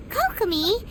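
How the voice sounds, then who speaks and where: A tiny floating game sidekick, with a very high, squeaky voice that bounces up and down. She is chatty and cheeky and talks about herself in the third person. Loud noise sits over the recording. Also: heavily acted.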